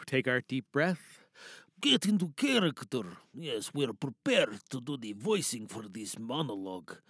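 A man from Canada hosting a podcast in a casual, chatty, animated and excitable way. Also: medium pitch, a little slow, slightly rough, dry recording, studio quality. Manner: chatty, animated, self-interrupting. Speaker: podcast host and amateur voice actor.

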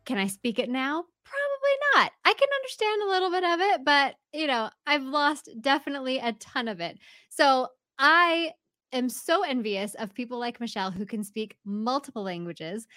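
Woman, from the United States, show host, friendly, laughs lightly, slightly high, dry sound. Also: lively intonation, normal speed, bright, forceful tone, dry recording. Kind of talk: casual interview chat.

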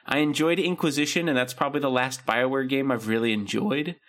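A man's voice: mid-range voice, steady tone, fairly quick, a bit bright. A man co-hosting a podcast, talking casually and rambling with lots of ums and likes. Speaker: podcast co-host. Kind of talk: casual chat.

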